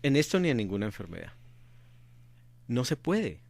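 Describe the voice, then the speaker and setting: A man's voice: mid-range voice, very animated, normal speed, creaky, darkish tone, dry recording. A middle-aged man from Colombia, answering interview questions earnestly and repeating his key points for emphasis. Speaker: interview guest, activist father.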